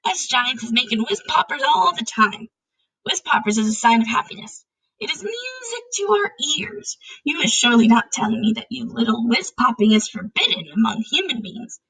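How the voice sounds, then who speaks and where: A gravelly, husky storybook giant, acted out by a woman, excitable and easily indignant. He talks in emphatic, garbled grammar peppered with invented words. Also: a little high, moderate pace, exaggerated voice, rough recording. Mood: gleeful.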